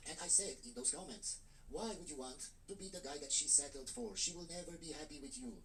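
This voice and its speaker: A man's voice: on the high side, a little fast, fairly bright tone, far from the mic, a little hiss or hum, rough recording. A man from Romania with an Eastern European accent, hosting a reaction stream with blunt, mocking commentary. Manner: blunt, mocking, repeats his points. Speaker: streamer host.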